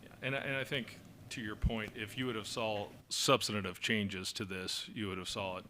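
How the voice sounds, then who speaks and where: Man, fire service official, low voice, flat monotone, steady explanations, noisy council chamber. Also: moderate pace. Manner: steady, explanatory, frequent fillers and restarts. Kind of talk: answering questions at a council meeting. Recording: noisy meeting room recording.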